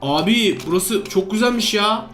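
A man giving playful, excitable game commentary, full of exclamations and repeated commands. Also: high voice, normal speed. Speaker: gaming video host. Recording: roomy echo, music underneath. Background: background music.

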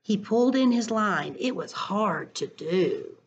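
A middle-aged woman reading a story aloud to children in a deliberate, expressive way, recorded on a computer at home. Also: mid-range voice, husky, dull audio. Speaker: school educator reading to children. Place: living room at home. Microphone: computer webcam at arm's length.

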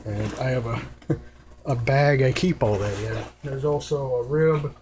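A man's voice: medium pitch, measured pace. A man giving a casual, unscripted hands-on explanation in a rambling, informal and matter-of-fact manner. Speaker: presenter giving radio gear advice. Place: indoors at a table. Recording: noisy home recording with hum. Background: clear noise and hum.

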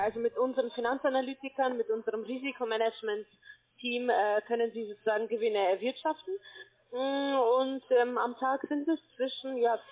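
A woman giving a telephone sales pitch as a call center agent, explaining in a halting, repetitive way. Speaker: call center account manager, telesales agent. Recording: tinny, band-limited phone line.

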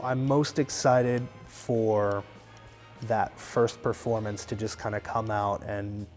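A man's voice: lowish voice, a little mellow. A young man giving interview answers, recorded indoors on a lapel mic. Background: faint music.

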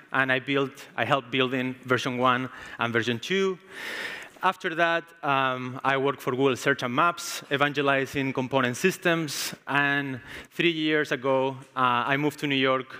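Spanish-accented man in his 30s, conference speaker, bright tense voice, long hall reverb, relaxed and chatty with ums. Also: mid-range voice. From Spain. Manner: casual, frequent ums and restarts. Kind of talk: tech conference talk. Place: large conference stage.

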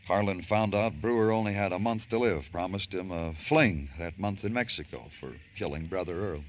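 An actor playing a terse, world-weary police detective in a radio crime drama, asking dry, clipped questions. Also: male voice, low-pitched, normal speed, close to an everyday voice. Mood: musing, weary. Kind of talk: old-time radio crime drama acting. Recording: hissy old-time radio recording.

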